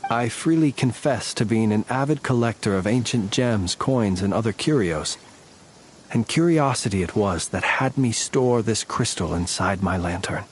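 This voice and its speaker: A man's voice: on the low side, normal speed, a little hiss or hum, close to an everyday voice. An actor playing a courtly, world-weary, proud and stoic male warrior in a video game, speaking in formal, archaic phrasing. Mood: measured, explaining. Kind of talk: video game voice acting, scripted dialogue.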